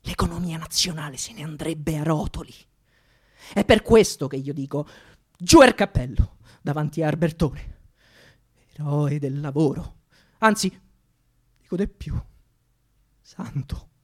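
A woman's voice: low-pitched, measured pace, long pauses, breathy edge. Woman acting a declamatory, impassioned Roman thief. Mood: hushed, grave, then reverent, proud. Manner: declamatory, rhetorical, swinging from hushed to shouted. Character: impassioned Roman thief eulogizing a dead comrade. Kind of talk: theatrical monologue, mock funeral oration.

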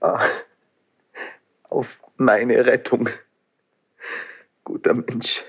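An actor playing an anguished, impulsive young prince in a classical radio drama, fervent and declamatory, in broken phrases with a husky, breathy voice. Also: male voice, mid-range voice, steady tone, very slow, muffled recording, full character voice. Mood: desperate. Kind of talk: classical radio stage acting.

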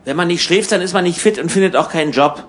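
A man's voice: sits high, fast talker, fairly bright tone. A man playing a restless, irritable emigrant in a hotel room, in conversational radio play dialogue. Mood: irritated. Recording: clear background ambience noise.

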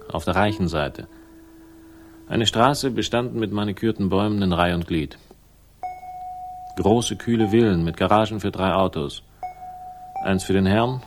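A man's voice: on the low side, lightly acted. An actor playing a laconic, ironic investigator who narrates his case in a 1960s German crime radio drama. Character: wry, hard-boiled male investigator narrating his case. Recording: music behind the voice.

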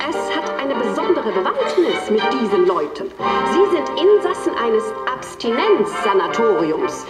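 A 1930s newsreel announcer, her voice climbing high and ranging widely as she declaims. She sounds distant, with long reverb and loud music under her. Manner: declamatory, questioning rhetoric. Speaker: newsreel narrator.

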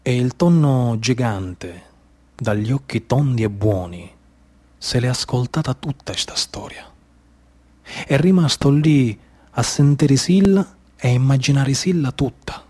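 A man's voice: mid-range voice, expressive, measured pace, a little mellow. A man with a Sicilian accent, an actor delivering a theatrical monologue in the manner of oral storytelling.

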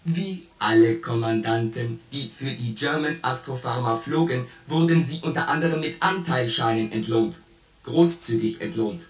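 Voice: medium pitch, a bit bright. An actor voicing a shipboard artificial intelligence in a science fiction radio play, coldly logical and pedantic as it itemizes its points. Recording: roomy echo, distant voice, music behind.

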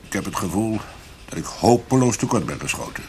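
An actor playing a grave, probing police investigator in a radio drama, speaking in a measured, deliberate way. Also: male voice, on the low side, lively intonation, a little hiss or hum, close to an everyday voice. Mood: troubled.

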